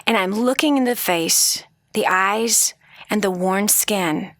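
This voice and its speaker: A woman's voice: medium pitch, takes it slowly, fairly bright tone, no room sound, studio quality. A woman hosting a radio talk show, speaking earnestly to listeners with rhetorical questions and frequent restarts. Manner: frequent restarts and repeated words. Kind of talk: motivational talk show monologue.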